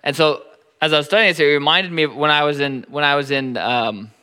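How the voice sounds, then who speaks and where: A pastor in his thirties with a Nebraskan accent preaches in a relaxed, stop-and-start way, often doubling his words. His voice is forceful and smooth, sitting a little high for a man. The sound hangs in a church hall with a long reverb. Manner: halting, repeats words often. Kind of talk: sermon.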